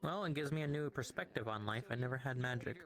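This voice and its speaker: A man's voice: medium pitch, quick pace, a bit bright, rough recording. A man playing a foxtail druid healer, chatting casually in improvised roleplay in a virtual reality game. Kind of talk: casual in-character improvised chat.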